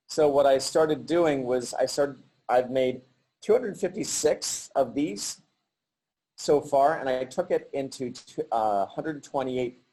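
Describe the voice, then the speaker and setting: A middle-aged man giving a halting virtual artist talk over a video call, with many pauses and restarts. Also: mid-range voice, dark tone, low-quality recording. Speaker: artist presenting his work.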